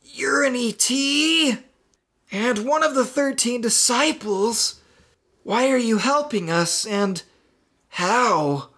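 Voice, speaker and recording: A man voicing a hot-headed, exasperated boy hero in a read-aloud adventure story, loudly demanding answers. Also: very high voice, slow, slightly rough, voice pushed up, full character voice. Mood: shocked. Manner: yelling, exasperated.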